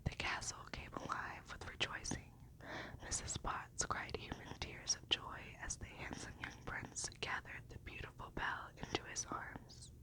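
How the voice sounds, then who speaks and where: A woman reading a storybook in a gentle, unhurried, breathy whisper in an ASMR style. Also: poor audio.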